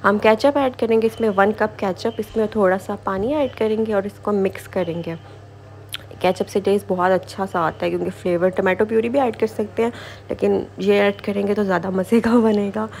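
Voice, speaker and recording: Creaky-voiced woman explaining a recipe. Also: medium pitch, fairly even intonation, moderate pace, a little mellow. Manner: informal, repetitive instructing with English kitchen words mixed in. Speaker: home cooking show host. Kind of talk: casual recipe voice-over, step-by-step instructions.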